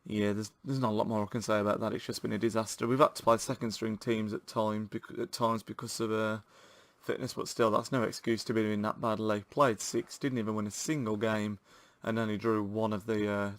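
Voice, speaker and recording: A man's voice: fairly low pitch, fairly quick, hardly any pauses, dry recording. Chatty man with a sore throat. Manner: chatty and excitable, addressing viewers as guys. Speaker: gaming channel host. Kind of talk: live commentary over a football video game.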